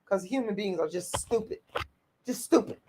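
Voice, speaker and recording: A woman's voice: mid-range voice, moderate pace, warm tone, slight background noise, poor audio. A woman, hosting a livestream and reacting to viewer comments. She talks in a blunt, outspoken way, swears freely and repeats her points to drive them home. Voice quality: raspy voice. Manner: blunt and profane, repeats herself. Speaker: channel host reading viewer comments.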